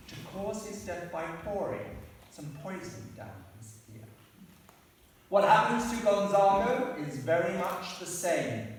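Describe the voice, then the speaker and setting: A flustered courtier turned rhyming storyteller, as a man plays him, in a fairly high voice. He is heard from well back in a hall that rings with long reverb. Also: slight background noise. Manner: flustered, then rhyming narration. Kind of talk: amateur comic radio play acting. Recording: echoey hall, distant mic.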